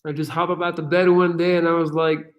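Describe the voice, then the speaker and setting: Energetic profane man answering viewer questions. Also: fairly high pitch, fairly quick, muffled recording. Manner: casual, profane, energetic, with many fillers. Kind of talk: casual livestream Q&A.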